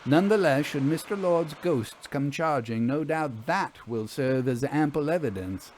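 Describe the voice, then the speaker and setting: Man voicing a higher-pitched, brisk lady ghost investigator. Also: expressive, darkish tone, slight background noise. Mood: composed, reassuring. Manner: formal, articulate, inquisitive. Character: Vera, brisk, assured woman ghost investigator. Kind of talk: audio storytelling, acted dialogue.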